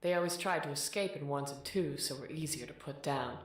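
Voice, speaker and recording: A woman's voice: low voice, fairly quick, close to an everyday voice. A woman playing a young female pegasus underling in an audio drama, earnest, deferential and carefully explanatory, in a roomy echo. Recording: roomy echo with faint music.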